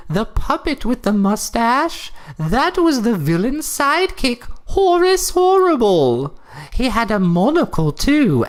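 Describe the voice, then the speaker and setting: A woman playing a prim, bossy old wife giving a theatrical comedic reading of a horror story. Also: mid-range voice. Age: older.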